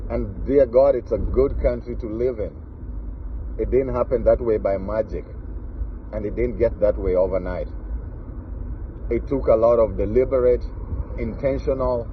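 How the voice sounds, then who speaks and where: A man's voice: medium pitch, dull audio, slight background noise. Earnest Kenyan man in his forties. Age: 40s. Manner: earnest, emphatic, repeats key phrases. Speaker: vlogger. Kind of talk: casual personal talk to camera.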